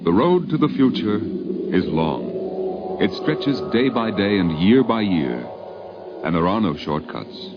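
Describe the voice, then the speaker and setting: An American man narrating a 1950s radio documentary in a literary, measured and dramatic way, on a vintage recording. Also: deep voice, lo-fi sound.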